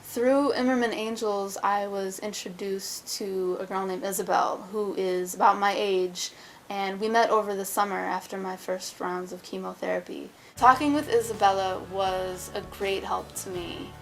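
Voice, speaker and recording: A woman's voice: mid-range voice, fairly even intonation, normal speed, slight background noise, poor audio. A woman earnestly reflecting on the support she received during cancer treatment. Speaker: cancer survivor giving a testimonial. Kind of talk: personal testimonial.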